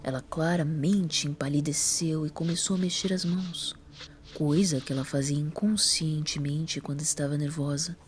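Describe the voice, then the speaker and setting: A woman's voice: sits low, fairly even intonation, moderate pace, slight background noise. A woman with a Brazilian accent reading scripted narration for a dramatic audio story.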